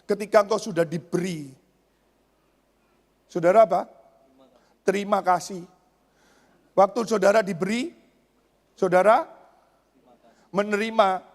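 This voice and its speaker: A man's voice: sits high, steady tone, a little slow, pauses often. A man preaching a sermon as a pastor, emphatic, repeating phrases and putting rhetorical questions to his listeners. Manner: swings between raised exclamations and hushed asides; addresses congregation with rhetorical questions. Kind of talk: church sermon. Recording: long hall reverb.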